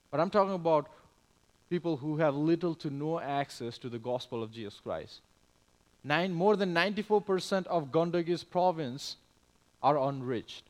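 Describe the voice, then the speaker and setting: Higher-pitched young man presenting to church. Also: darkish tone. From Nepal. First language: Nepali. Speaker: missionary and church planter. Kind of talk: church missions presentation with slides. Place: speaking live to a church congregation.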